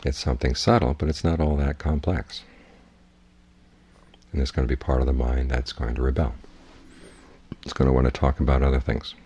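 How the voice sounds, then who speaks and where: Very deep, husky, dark-voiced man. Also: little pitch movement. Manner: measured, plainspoken instruction. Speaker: Buddhist monk, meditation teacher. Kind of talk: guided meditation talk.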